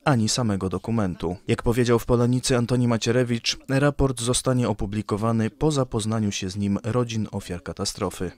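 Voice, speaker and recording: A man reading a scripted news report in a measured, formal way. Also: medium pitch.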